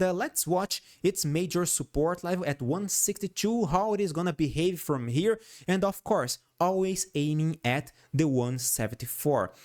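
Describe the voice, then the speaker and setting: Brazilian guy with a portuguese accent doing chart commentary, voice bounces around a lot, kinda high, keeps saying "all right?". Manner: animated, repeats and restarts phrases, frequent "all right?" tag. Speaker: host. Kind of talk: stock chart analysis commentary.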